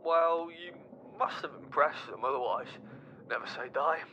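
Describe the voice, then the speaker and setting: An actor playing an upbeat, affectionate boyfriend speaking over a call link in an audio drama. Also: male voice, on the high side, normal speed, sharp tone. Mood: encouraging. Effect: voice over a radio-phone link. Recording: dull lo-fi call link with background noise.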